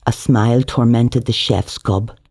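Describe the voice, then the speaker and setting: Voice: medium pitch, steady tone, a little slow, a little mellow, husky, dry recording, studio quality. A Northern Irish author reading their own novel in a performative, wry, colloquial way. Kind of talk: dramatic literary audiobook narration.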